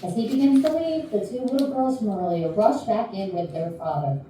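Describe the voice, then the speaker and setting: A woman's voice: mid-range voice, normal speed, warm tone. A woman narrator reading stage directions at a staged reading of a play. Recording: very echoey room, distant mic, faint noise.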